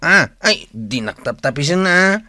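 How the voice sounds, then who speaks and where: Man as nervous comic sidekick, Ilocano accent, hesitant, lively pitch, sharp tone, radio drama. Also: measured pace, dry recording, clean studio sound, heavily acted. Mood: flustered. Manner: flustered, hesitant interjections.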